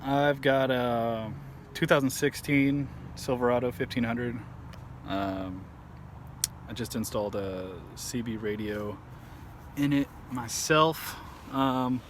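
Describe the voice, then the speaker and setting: Male DIY vlogger, medium pitch, relaxed and unpolished how-to delivery, recorded by a truck with clear ambient noise. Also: slow pace. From Southern California, lives there. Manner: laid-back, hesitant, self-effacing, loosely worded. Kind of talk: casual unscripted how-to walkthrough. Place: outdoors in and under a pickup truck. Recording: noisy handheld outdoor vehicle recording. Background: clear outdoor and vehicle ambience.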